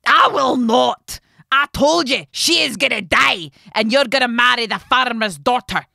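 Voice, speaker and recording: A woman voicing a stubborn, spiteful witch mother in a storybook tale, loud and emphatic. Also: on the high side, expressive, a little slow, gravelly, no room sound, clean studio sound, pitched above the performer's own voice, full character voice. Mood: stern, defiant.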